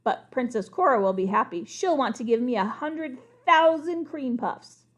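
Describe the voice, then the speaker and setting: A woman reading a storybook aloud and voicing a sly, greedy crocodile who talks slowly and defiantly. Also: fairly high pitch, vocal fry, a little mellow. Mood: gloating. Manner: slow, gloating and defiant.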